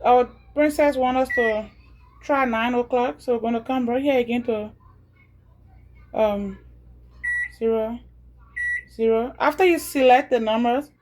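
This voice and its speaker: A woman's voice: fairly high pitch, a little mellow, a little hiss or hum. Liberian woman walking through appliance steps. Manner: chatty, repeats steps slowly. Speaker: home video creator. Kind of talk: casual step-by-step tutorial.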